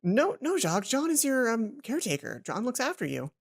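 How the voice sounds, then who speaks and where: Woman as a fast-talking smitten student girl. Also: a little high, sing-song, slightly rough, voice pushed up, full character voice. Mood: reassuring. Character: smitten, evasive young female student. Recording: music behind the voice.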